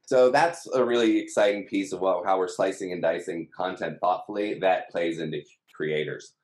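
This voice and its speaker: A man's voice: sits low, moderate pace, a little mellow. A man explaining things conversationally as an interview guest. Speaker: company team member being interviewed. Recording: roomy, echoing sound.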